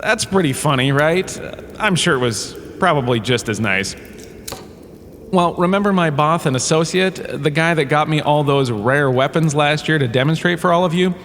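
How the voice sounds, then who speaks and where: A man's voice: on the high side, normal speed, fairly bright tone. A man playing a washed-up, self-important holodrama star who gives rambling, breezy, self-excusing comedic lectures in an audio drama. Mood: wry, self-satisfied. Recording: cavernous reverb with faint background noise.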